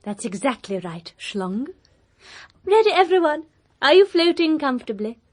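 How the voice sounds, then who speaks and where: A woman's voice: high voice, sing-song, moderate pace. A woman playing a prim, bossy alien storyteller in a comic radio play, with a precise, superior, scolding manner. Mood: cheery.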